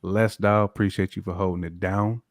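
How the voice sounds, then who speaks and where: A man's voice: deep voice, fairly even intonation, moderate pace, dry recording, studio quality. An American man on a podcast panel, chatting casually in a teasing, slangy way and repeating phrases for emphasis. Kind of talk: casual chat.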